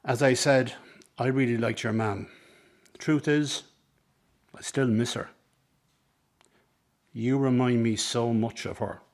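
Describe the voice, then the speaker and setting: A man's voice: medium pitch, steady tone, takes it slowly, long pauses, a little mellow. A man acting in a radio drama as a scheming circus man, talking in an ingratiating, persuasive, coaxing way. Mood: earnest, coaxing. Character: smooth-talking, scheming circus man, mother's old flame. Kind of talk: dramatic radio play dialogue.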